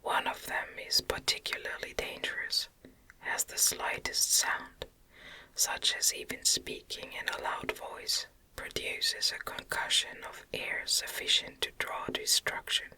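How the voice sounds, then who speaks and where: Female narrator for whispered gothic audiobook ASMR: soft and breathy, very sharp-edged, very slow, soothing. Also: rough recording. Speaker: audiobook reader.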